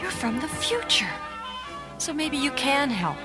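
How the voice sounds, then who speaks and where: A woman acts out a feisty, blunt woman scientist for a storybook tape. Her voice is high and swings widely in pitch, wary yet soft-hearted underneath. Music plays clearly behind her. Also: very animated, unhurried. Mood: urgent. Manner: blunt, plain-spoken. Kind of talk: dramatized children's read-along storybook acting. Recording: voice over loud background music.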